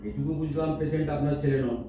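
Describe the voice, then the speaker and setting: A man acting a businesslike hospital official in a staged audio drama, formal and measured. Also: fairly high pitch, fairly even intonation, warm tone, lightly acted. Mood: firm, official. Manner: formal, measured, mixes in English. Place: multipurpose hall stage. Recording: echoey hall, distant mic, noisy, dull.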